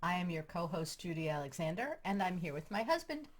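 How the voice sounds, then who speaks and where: A woman's voice: on the low side, very animated, brisk. A woman co-hosting a couples therapy podcast, sounding stuffy from a cold and chiming in with agreeable, supportive remarks. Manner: warm, agreeable, supportive interjections. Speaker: podcast co-host. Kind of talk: casual podcast chat.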